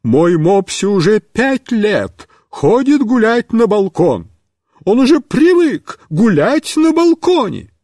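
A man voicing a fussy, indignant middle-aged woman neighbor with a shrill, squealing voice and drawn-out, outraged exclaiming. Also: sing-song, a little mellow, no room sound, clean studio sound, exaggerated voice. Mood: shrill outrage.

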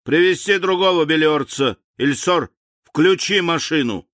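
A man's voice: mid-range voice, sing-song, a little slow, pushed and bright, no echo, clean studio sound. A man voicing a commanding, hot-tempered general with a curt, imperious bark, in an audiobook story. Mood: furious.

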